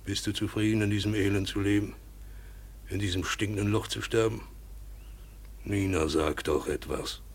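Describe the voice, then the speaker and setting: An actor playing an embittered, despairing European trader and father in middle age in a German radio drama, with a husky, gravelly voice and a brooding, halting manner. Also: lowish voice, fairly even intonation. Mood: anguished pleading. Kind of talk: mid-century German radio play acting.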